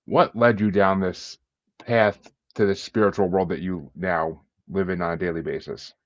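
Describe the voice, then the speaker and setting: A man's voice: low voice, fairly even intonation, normal speed, dry recording. A man hosting a podcast interview, chatty and jokey, laughing between lines over a video call. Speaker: podcast host. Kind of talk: casual interview chat. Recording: dull dry lo-fi sound.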